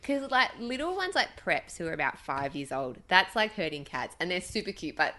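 A woman's voice: on the low side, sing-song, normal speed. A woman, a former teacher co-hosting a podcast, chatting supportively and laughing often. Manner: supportive, laughs often, says she has a loud voice. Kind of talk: casual chat.

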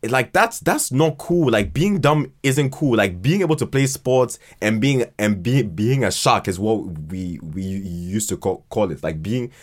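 Low-voiced man, halting, repetitive talker. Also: expressive. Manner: halting, repeats words often as he thinks aloud. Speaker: podcast guest, fiction podcast writer. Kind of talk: casual conversational interview.

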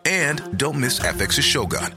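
A man's voice: mid-range voice, sing-song, a little slow, over music. A man reading an upbeat scripted advert with a punchy promotional delivery. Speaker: ad announcer.

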